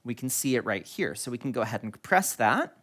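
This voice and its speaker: A man's voice: medium pitch, big pitch swings, fairly quick, fairly bright tone. A man hosting an instructional product tutorial, explaining things step by step. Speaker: tutorial video host.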